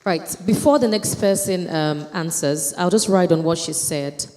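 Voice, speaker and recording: A woman's voice: on the low side, measured pace, darkish tone. A woman moderating a panel discussion, posing questions casually and checking in with the room. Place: church hall event. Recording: reverberant church hall.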